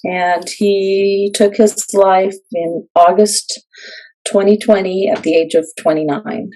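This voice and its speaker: A woman's voice: mid-range voice, steady tone, slow, warm tone, rough recording. A woman who is a published author, speaking as an interview guest in an earnest, plain-spoken way with some fillers. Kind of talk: casual interview.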